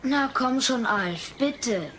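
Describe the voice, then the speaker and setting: A woman's voice: sits high, lively intonation, slow, a bit bright, a little hiss or hum, full character voice. A woman playing an eager, pleading young boy in a comedy audio play.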